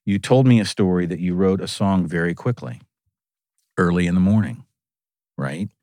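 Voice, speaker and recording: Low-voiced animated man in chat. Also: moderate pace, no room sound, clean studio sound. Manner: animated, laughs often, repeats "right". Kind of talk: casual conversation.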